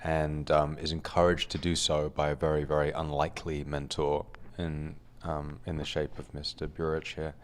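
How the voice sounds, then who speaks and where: A man, the film's director, summing up the story in a hesitant way with many pauses. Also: rumbling low, level tone, a little hiss or hum. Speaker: film director. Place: film festival studio.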